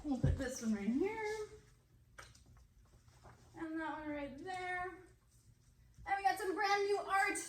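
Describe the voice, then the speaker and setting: A woman's voice: very high voice, takes it slowly, long pauses, a little hiss or hum, rough recording. A woman hosting a casual live puppet show for children in a friendly, encouraging, upbeat way.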